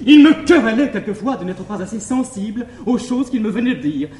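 A lovestruck young gentleman of classic French farce. His very high voice races along in anxious, effusive theatrical declamation. The old stage recording is roomy and echoing. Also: animated, fairly quick. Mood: rapturous, effusive. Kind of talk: classical stage comedy acting.

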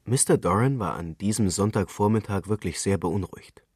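A man's voice: fairly low pitch, moderate pace, a little mellow, slightly rough, no room sound, studio quality. A man reading literary prose as a scripted narrator.

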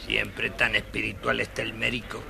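Harsh, gravelly old father. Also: lowish voice, level tone, normal speed. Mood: proud, scheming. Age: older. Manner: blustering, scornful, exclamatory. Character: harsh, scolding old artisan father favoring one son. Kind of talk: children's audio story acting. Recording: old children's record with audible background noise.

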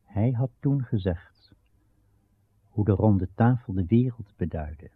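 A low-voiced man tells an old legend as a radio play narrator, slow and grave. His voice is husky with a gravelly edge. The recording is muffled and narrow. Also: lively intonation. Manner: grave, measured, dramatic pauses. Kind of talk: solemn literary storytelling of Arthurian legend.

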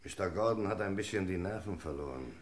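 A man's voice: low voice, lively intonation, brisk, crowd noise. A man acting a guilty middle-aged businessman in a radio crime drama, evasive, blustering and threatening. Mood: tense, forceful.

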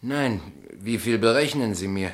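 A man's voice: on the low side, expressive, measured pace. An actor in a German radio play voicing a naive, guileless small-town man who is artless and easily astonished. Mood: naive astonishment. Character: naive small-town poet and tuba player, guileless, stubbornly loyal.

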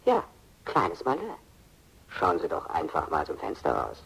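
A man's voice: deep voice, wildly swooping pitch, unhurried, creaky, background noise, full character voice. An actor playing a menacing extortionist in a radio crime drama, mocking his victims with savoured mock-politeness. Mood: mocking menace. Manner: sardonic mock-politeness, savouring each threat.

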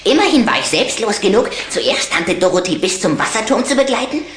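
A woman's voice: mid-range voice, very animated, a little fast, natural voice. A woman playing a smug, boastful boy vampire in a German children's audio drama, teasing, in an echoing space. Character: smug, self-important boy vampire, cocky best friend. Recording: echoey, faint background hum.

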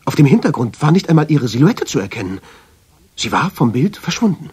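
An actor playing a brooding, guilt-ridden man who tells his own story in a measured, literary way in a radio drama. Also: medium pitch, very animated, a little mellow, husky. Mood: uneasy, tense. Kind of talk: scripted first-person radio drama narration.

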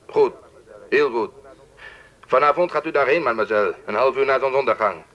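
An actor playing a stern, secretive male informant over the telephone in a radio drama, curt, controlling and deliberate. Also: medium pitch, a bit bright. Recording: dull, band-limited telephone-like sound.

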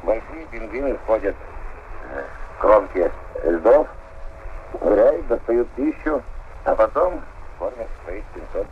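A man's voice: lowish voice, animated, takes it slowly, pauses often, narrow, tinny audio, a little hiss or hum. A man at a polar station answering interview questions over a long-distance radio link.

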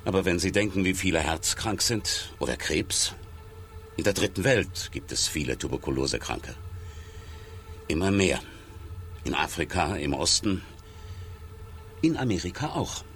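A man's voice: low-pitched, big pitch swings, a little slow, long pauses, a bit bright, faint music behind, heavily acted. An actor playing a sober male doctor in a radio play, explaining things in terse, blunt phrases. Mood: dry, blunt.

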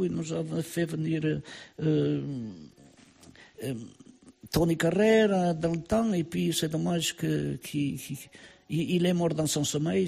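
Gravelly, husky older man with accented French. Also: on the high side, warm tone. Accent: southern French with Portuguese. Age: older, grandfather of a 24-year-old. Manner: repeats words, halting. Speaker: club president, interview guest. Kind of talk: casual radio interview.

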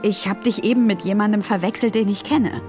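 A woman's voice: medium pitch, steady tone, a little fast, music in the background, close to an everyday voice. Woman reading as a polite, puzzled young boy. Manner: plain-spoken, questioning. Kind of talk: audiobook character voice.

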